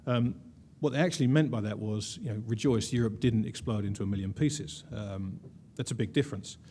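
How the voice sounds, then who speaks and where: A man giving a conference slide talk in a low, mellow voice. He is wry and conversational, with plenty of filler sounds. A faint hiss sits under the room. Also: a little fast. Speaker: conference presenter.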